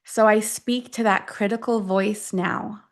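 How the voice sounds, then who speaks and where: A woman guides a self-affirmation session at a medium pitch. She speaks in short, deliberate phrases and leaves long pauses between them, in the style of a guided meditation. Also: fairly even intonation.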